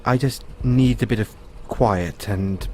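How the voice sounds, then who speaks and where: A man playing a thoughtful, kindly owner of an android in an audio roleplay, musing and explaining things to the listener in a measured way. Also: on the low side, darkish tone, a little hiss or hum. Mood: casual, slightly secretive.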